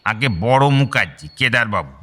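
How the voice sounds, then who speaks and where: A humble rustic manservant in a radio drama. His mid-pitched voice is bright and lilts widely, colloquial and deferential. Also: animated, normal speed, a little hiss or hum. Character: deferential, rustic household manservant delivering messages. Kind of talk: broadcast radio drama acting.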